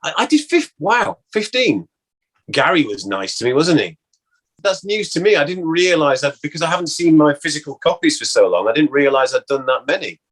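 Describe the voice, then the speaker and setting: A man chatting casually as an interview guest, reminiscing and thinking aloud in a halting way. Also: on the high side, big pitch swings, fairly bright tone.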